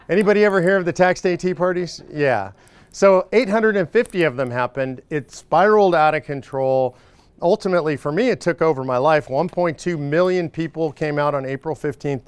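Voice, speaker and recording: Loud, joking man addressing a crowd. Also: on the high side, clear, resonant tone, dry recording. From Northern California. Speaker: speaker addressing supporters. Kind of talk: casual stump speech. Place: room with live audience.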